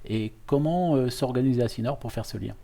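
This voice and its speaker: Mellow-voiced man hosting an interview. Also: mid-range voice, brisk, vocal fry. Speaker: host, university professor interviewing a guest. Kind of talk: educational interview, conversational.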